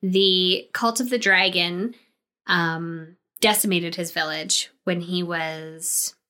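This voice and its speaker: A woman's voice: fairly low pitch, steady tone, slow pace, pushed and bright. A woman chatting casually as a player on a tabletop game podcast. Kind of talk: casual chat.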